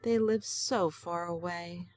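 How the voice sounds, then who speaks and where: An actress playing an elderly grandmother and wife, gentle and earnest, in an audio drama. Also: on the low side, takes it slowly, soft background music. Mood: troubled. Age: older. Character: elderly grandmother, lonely and worried.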